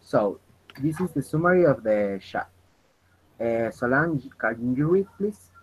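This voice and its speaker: A man in his twenties with a Venezuelan Spanish accent, leading an online English practice chat in halting, restarting English. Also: medium pitch, lively intonation. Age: 20s. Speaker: chat moderator. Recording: dull lo-fi voice call with faint noise.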